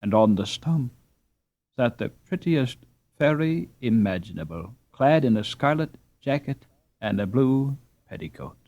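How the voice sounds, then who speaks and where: A man reading a classic children's novel aloud as a slow, expressive audiobook narrator. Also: mid-range voice, muffled recording. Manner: slow, expressive, lively intonation. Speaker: audiobook reader. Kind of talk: scripted storybook narration.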